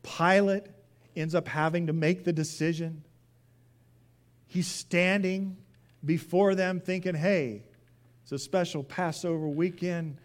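A man preaching a sermon as a pastor, emphatic, with deliberate pauses, repeated phrases and questions put to his listeners. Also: sits high, steady tone.

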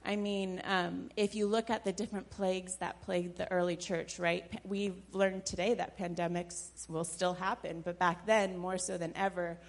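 A woman's voice: on the low side, little pitch movement, normal speed, hardly any pauses. A woman who leads a church ministry shares an earnest personal reflection with the congregation, with some fillers. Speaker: church member sharing a reflection. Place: church sanctuary.

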